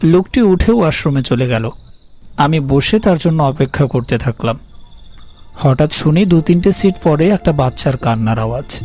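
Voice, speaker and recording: A man's voice: a little high, expressive, moderate pace, a little mellow. A man narrating a first-person horror story in a dramatic style. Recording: music behind the narration. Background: music.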